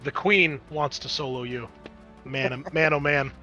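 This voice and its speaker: Male game master, bright animated delivery, casual swearing, improvised narration over faint music. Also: a little high, unhurried, dull audio. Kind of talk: running a tabletop roleplaying game, improvised narration.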